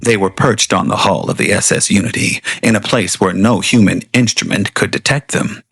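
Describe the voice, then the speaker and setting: Gravelly, theatrical male drama narrator. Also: on the low side, moderate pace, fairly bright tone, no echo, clean studio sound. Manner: theatrical, addresses the listener directly. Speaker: narrator and host. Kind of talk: scripted audio drama narration.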